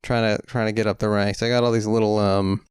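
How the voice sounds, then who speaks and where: A man's voice: on the low side, level tone, fairly quick, smooth, no echo, clean studio sound. A man co-hosting a podcast in casual chat, joking and drifting into rambling tangents. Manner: rambling, jokey, riffs on tangents. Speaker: podcast co-host.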